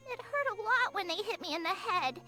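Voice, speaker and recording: Young female game creature: high, childlike, innocent, hopeful, politely earnest. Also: expressive, a little fast, bright, forceful tone, full character voice. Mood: wistful, hurt. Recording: music behind the voice.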